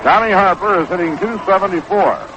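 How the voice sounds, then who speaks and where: A man calling baseball play-by-play on 1960s radio, measured and descriptive, on an old broadcast recording. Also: high-pitched. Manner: measured, descriptive calls that quicken on action. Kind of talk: 1960s live radio sports commentary. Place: outdoor ballpark broadcast position. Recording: noisy, dull vintage 1960s radio broadcast.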